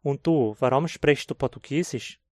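Male course reader, Hunsrik-accented German, unhurried and clear, dry studio sound. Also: medium pitch, a little mellow, no room sound. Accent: Brazilian Hunsrik German. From southern Brazil. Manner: slow, clear teaching delivery. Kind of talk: scripted textbook dialogue.

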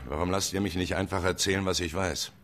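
A deep-voiced, defensive suspect. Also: male voice, fairly even intonation, fast, a bit bright. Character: shaken man telling his side to police.

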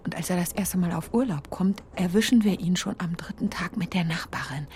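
An actress playing a resolute young widow in a literary audio drama, murmuring intimately and partly whispering. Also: female voice, lowish voice, fairly quick, a little mellow, a little hiss or hum, close to an everyday voice. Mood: hushed, bitter.

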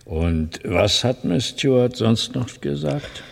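Slow, gravelly police inspector. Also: male voice, medium pitch, animated, exaggerated voice. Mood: pensive. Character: deliberate, probing male police inspector. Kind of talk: 1970s German radio crime drama acting.

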